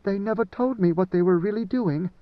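A man voicing a gentle, sincere young woman who speaks softly and earnestly. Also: sits high, a little fast, dry recording, pitched above the performer's own voice, lightly acted. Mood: pleading. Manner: soft, earnest. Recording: dry, dull lo-fi sound.

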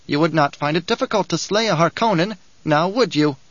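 A shrill, whining little male dwarf, full of spite and mockery, who, as the narrator puts him on, rides high above the reader's own voice. He sneers and coaxes in an audiobook read that sounds dry and dull. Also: a little fast, a bit bright, no room sound. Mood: mocking. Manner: whining, giggling, taunting. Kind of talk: audiobook character reading.

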